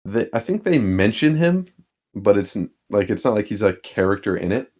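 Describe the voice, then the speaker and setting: A man's voice: fairly low pitch, big pitch swings, moderate pace, darkish tone. A man co-hosting a casual sports podcast, laid-back with dry humor and a habit of chuckling as he talks. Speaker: podcast co-host.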